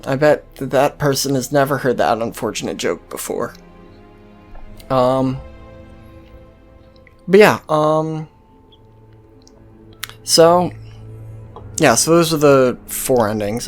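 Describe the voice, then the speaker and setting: A game series host giving casual, sweary let's-play commentary in a rambling, hesitant way. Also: mid-range voice.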